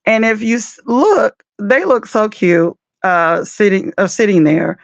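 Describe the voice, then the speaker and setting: A woman with a raspy, deep morning voice, chatting loosely about reality TV as the host of a commentary show. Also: unhurried, no room sound, highs cut off. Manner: chatty, loose, with many fillers and asides.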